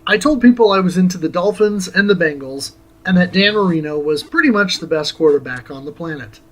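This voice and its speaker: A middle-aged American man reading a scripted review as host, with a theatrical, deliberate delivery. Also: high-pitched, animated. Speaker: host of a retro game review series. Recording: music under the voice. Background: music.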